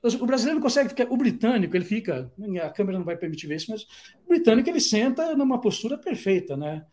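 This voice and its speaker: A Brazilian man with a Brazilian Portuguese accent, a product designer guest telling stories in a relaxed interview, with many fillers and restarts. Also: sits high, rapid-fire. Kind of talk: casual conversational interview.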